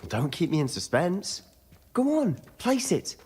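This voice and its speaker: A man's voice: on the high side, huge pitch swings, a little slow, a little mellow, slightly rough, full character voice. A man playing an eager teenage wizard schoolboy in a cinematic fantasy game. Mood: excited.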